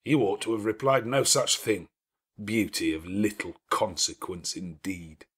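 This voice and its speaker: A man voicing a gruff, commanding, teasing middle-aged gentleman with elaborate, sardonic phrasing in an audiobook. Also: on the low side, expressive, measured pace, full character voice. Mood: sardonic, challenging. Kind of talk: dramatic audiobook character dialogue.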